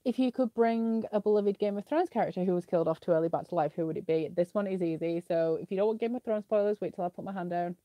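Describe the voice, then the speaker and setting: A woman's voice: medium pitch, fast talker, few pauses, mellow, no echo. A young woman with a British accent, sniffly with a slight cough, chatting casually as she answers viewer questions in a live stream, with lots of "like" fillers. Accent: British English.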